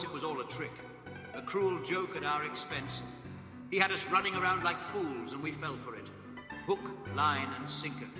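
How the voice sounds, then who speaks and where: A man's voice: fairly high pitch, natural voice. A man voicing an anxious male opera house manager, speaking in a fretful, exasperated way in an audio drama. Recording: echoey hall reverb with music.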